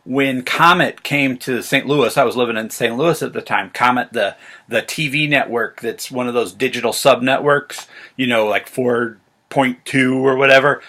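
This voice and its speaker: A man's voice: mid-range voice, normal speed. An American man talking casually to camera as a vlog host, in a rambling, enthusiastic fan's manner. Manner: rambling, excited fan talk. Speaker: vlog host and science fiction reviewer.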